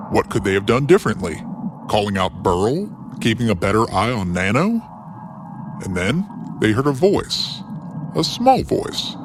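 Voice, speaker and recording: A man narrating a scripted fantasy story in a theatrical, whimsical way with deliberate pauses. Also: on the low side, noisy background.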